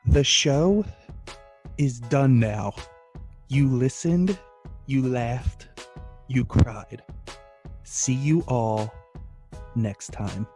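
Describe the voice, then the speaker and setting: A man's voice: mid-range voice, very slow, long pauses, darkish tone, faint music behind. A man chatting casually and jokingly as a guest co-host on a comedy show.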